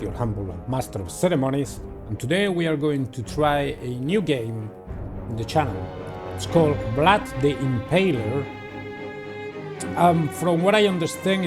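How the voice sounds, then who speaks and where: A man in his thirties or forties hosting a video game playthrough, giving commentary and reading text aloud. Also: medium pitch. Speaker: gaming channel host.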